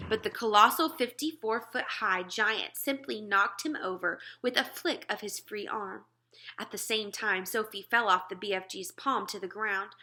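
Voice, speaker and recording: A woman with a bright, forceful voice of middling pitch reads a children's story aloud. Her narration is expressive and dramatic, leaning deliberately on key words.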